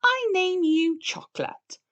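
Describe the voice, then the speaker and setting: A woman voicing an excitable, bossy little girl pig in a children's storybook, with playful announcements. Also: extremely high pitch, takes it slowly, highs cut off, voice pushed up, heavily acted. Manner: bright exclamations, playful pretend announcements. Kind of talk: children's storybook read-aloud.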